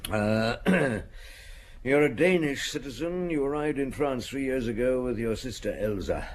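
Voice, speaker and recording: An actor playing a seasoned French police chief inspector in a radio drama, patient and dogged, speaking in a measured, probing, official way with thoughtful hums. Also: male voice, medium pitch, expressive, slight background noise, lightly acted. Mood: probing, methodical. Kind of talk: radio drama acting, reminiscing and interrogating.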